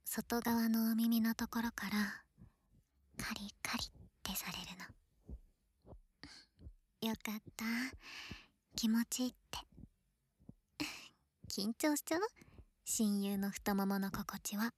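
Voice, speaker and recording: A woman playing an affectionate, teasing young girl best friend in an ASMR roleplay, playful and breathy, often slipping into whispers close to the microphone. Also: fairly high pitch, fairly even intonation, slow, pauses often, a little mellow. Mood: tender teasing. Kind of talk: Japanese ASMR situation voice drama, close intimate roleplay. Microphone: close ear-to-ear ASMR microphone.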